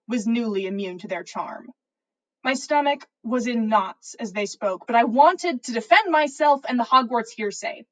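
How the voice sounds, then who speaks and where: A woman reading fan fiction aloud as a podcast host, in expressive first-person story narration. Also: on the high side, poor audio.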